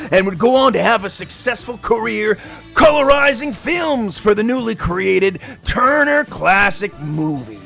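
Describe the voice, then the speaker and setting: Hyped up macho wrestler telling a tale, gravelly, bright n forceful, drags words out, music behind. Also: male voice, high-pitched, full character voice. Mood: bombastic, wry. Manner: punctuates sentences with emphatic interjections. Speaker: narrator. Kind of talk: exaggerated wrestling-promo storytelling.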